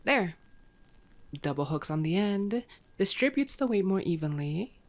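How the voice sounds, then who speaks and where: Woman playing a cocky, teasing, slightly low-voiced vampire woman. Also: lively intonation, unhurried. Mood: wry banter. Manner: flirty, sardonic, foul-mouthed banter. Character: playful, cocky, teasing hungry female vampire. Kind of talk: ASMR roleplay acting.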